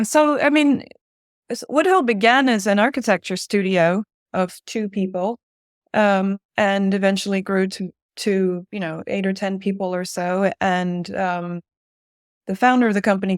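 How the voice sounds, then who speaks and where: A woman's voice: medium pitch, no echo, studio quality. A woman in her forties from Spain, giving conversational answers as an interview guest. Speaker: interview guest, director at a design-build firm.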